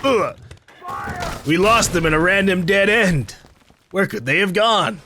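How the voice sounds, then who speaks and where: High-pitched, animated male gamer. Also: a little slow, a little hiss or hum. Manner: playful, joking. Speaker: gaming video host. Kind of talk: casual let's play commentary.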